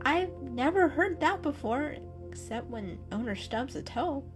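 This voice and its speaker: A woman acts out an eager young female cat from a storybook. She is curious and wide-eyed, pitched high and swooping in lively exclamations, with music playing behind her. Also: unhurried. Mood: puzzled.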